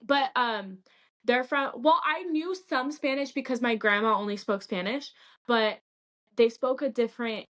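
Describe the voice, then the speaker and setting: A woman's voice: a little high, unhurried, a bit bright. A young woman from California, a podcast guest telling a candid, rambling personal story in casual chat, with many "like" fillers.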